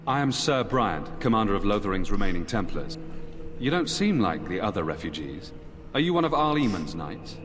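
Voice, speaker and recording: An actor playing a dutiful, weary knight commander in a fantasy video game, speaking formally and gravely. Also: male voice, lowish voice, very animated, normal speed, noisy background. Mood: formal, guarded. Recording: game dialogue in long reverb under music.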